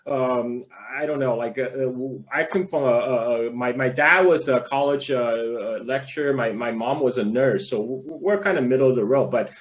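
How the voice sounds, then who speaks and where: Pria hesitan dari Tiongkok, panggilan video. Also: male voice, mid-range voice, low-quality recording. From China. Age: 40s. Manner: halting, repeats words and restarts often. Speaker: podcast guest. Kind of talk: casual panel discussion.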